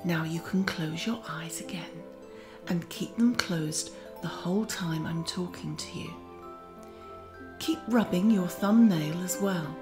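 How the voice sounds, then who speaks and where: A woman playing a gentle, kindly magical garden auntie who leads a children's guided meditation in a soothing, often near-whispered voice. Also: on the low side, slow pace. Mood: tender, wondering. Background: music.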